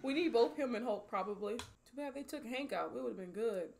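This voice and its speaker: A young adult woman giving casual, excitable, slangy reaction commentary as she watches a movie. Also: on the high side, fairly quick, vocal fry, over music. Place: small bedroom studio indoors.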